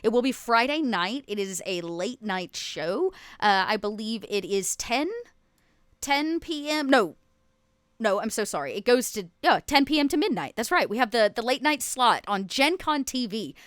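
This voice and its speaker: Female streamer, high smooth voice, dry close sound, playful announcement delivery. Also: expressive, moderate pace, a bit bright, no echo. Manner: chatty, playful. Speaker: cast member making announcements. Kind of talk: casual live stream announcement.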